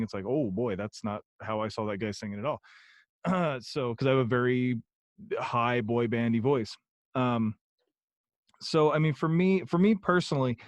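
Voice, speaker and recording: A man's voice: medium pitch, no room sound. A young man chatting as a podcast guest, rambling with many ums and restarts. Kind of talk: casual interview chat.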